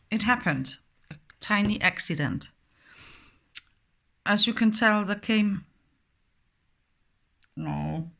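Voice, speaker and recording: A middle-aged woman with a Danish accent, a native Danish speaker, talking slowly and hesitantly through a step-by-step craft tutorial with many fillers. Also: on the low side, vocal fry, a bit bright.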